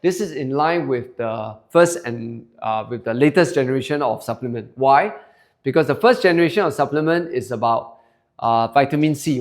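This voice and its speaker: A man in his forties with a Singaporean English accent, giving a chatty sales presentation to a room of listeners and polling them with questions. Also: mid-range voice. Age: 40s. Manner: chatty, polls the crowd and tags lines with "okay?". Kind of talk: sales presentation to a live audience. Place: seminar room with an audience.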